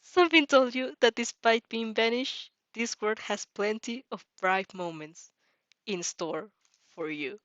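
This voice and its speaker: Amateur female fanfic narrator: high pitch, excitable, reactive, prone to stumbles, dry sound. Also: a little slow, no room sound, muffled recording. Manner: giggly, excitable, often stumbles over words. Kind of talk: amateur fan fiction reading with spontaneous reactions.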